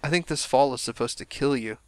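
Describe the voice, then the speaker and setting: A young man commenting casually on a video game he is playing, his voice a little hoarse from a cold, rambling with self-deprecating asides. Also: medium pitch, fairly quick, no room sound. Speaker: let's play gamer.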